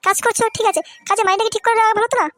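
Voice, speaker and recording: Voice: very high voice, steady tone, very fast, fairly bright tone, no room sound. A performer voicing a nagging, practical village wife in a comic cartoon, scolding and lecturing over music. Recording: music behind the voice.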